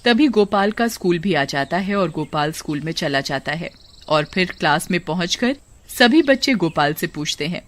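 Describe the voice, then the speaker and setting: A woman's voice: on the low side, animated, normal speed. A woman reading a scripted children's story as narrator.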